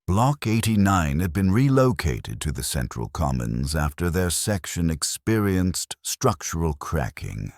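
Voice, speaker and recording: A man narrating a dramatic first-person story in a grave, measured manner, with short deliberate phrases. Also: deep voice, big pitch swings, dry recording, studio quality.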